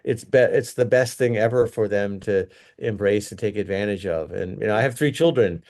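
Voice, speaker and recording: A man's voice: on the low side, normal speed, a little mellow. A middle-aged man, a company executive, giving earnest, rambling interview answers full of restarts and fillers.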